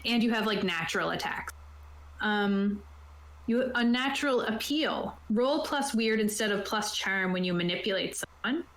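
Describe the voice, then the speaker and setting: A woman's voice: mid-range voice, animated, moderate pace, a bit bright, echoey room, low-quality recording. Woman casually reading tabletop game rules aloud. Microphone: own microphone on an online voice call.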